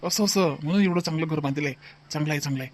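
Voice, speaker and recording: An easygoing older village father-in-law from a cartoon comedy , acted by a man, pitched high for a man. He rambles along and repeats himself in a folksy Vidarbha Marathi accent. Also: racing, a little hiss or hum, close to an everyday voice. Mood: friendly, curious. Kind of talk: rustic cartoon comedy dubbing.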